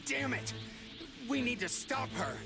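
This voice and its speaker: A man's voice: very high voice, lively intonation, measured pace, gravelly, heavily acted. A man playing a desperate, earnest fighter hero in a dramatic anime game style. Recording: loud music behind the voice.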